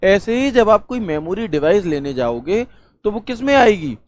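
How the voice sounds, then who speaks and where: A man's voice: sits high, very animated, moderate pace, darkish tone. A man teaches an exam-prep computer lesson. He repeats key points and asks questions before answering them himself. His delivery is classroom-style and mixes in motivational pushes.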